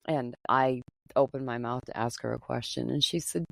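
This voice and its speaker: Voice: medium pitch, fairly even intonation, normal speed, creaky, no echo. Reflective podcast host in their fifties. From Maine, US. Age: 50s. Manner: conversational, reflective, lightly teasing. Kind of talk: casual interview chat.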